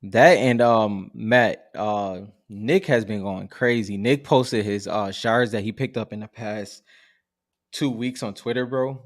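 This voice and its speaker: A man's voice: lowish voice, takes it slowly. A man co-hosting a live podcast with laid-back, slangy, colloquial banter.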